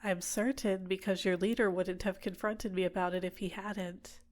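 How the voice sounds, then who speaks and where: A woman voicing a possessive, manipulative villainess in an intimate ASMR roleplay, soft-spoken and coaxing, teasing and sometimes whispering to a captive. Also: medium pitch, fairly even intonation, moderate pace, close to an everyday voice. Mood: smug, amused.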